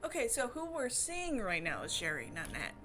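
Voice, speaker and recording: A woman streamer giving casual, expressive commentary as she plays and reads a visual novel, reacting with exclamations. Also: a little high, moderate pace. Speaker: streamer doing a playthrough. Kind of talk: casual game commentary, reading visual novel text aloud. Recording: music in the background. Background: game music.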